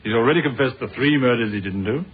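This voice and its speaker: Actor as a British police inspector, tinny radio sound. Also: male voice, mid-range voice, slightly rough, lightly acted. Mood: polite, probing. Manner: measured, courteous, formal questioning. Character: British police inspector, polite, probing, quietly dry storyteller. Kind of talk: radio drama acting. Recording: thin phone-like radio sound, faint music.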